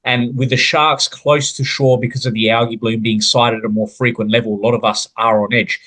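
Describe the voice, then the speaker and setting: Podcast host, male, Australian accent, mid-pitched voice, earnest and wordy delivery. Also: little pitch movement, brisk, pushed and bright, poor audio. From South Australia. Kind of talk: podcast interview.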